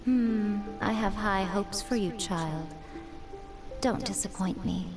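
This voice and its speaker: Woman as a mysterious game noble lady, mid pitch, composed, cryptic, music behind. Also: takes it slowly, natural voice. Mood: knowing. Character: poised, enigmatic noble shrine woman, knowing. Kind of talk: English video game voice acting.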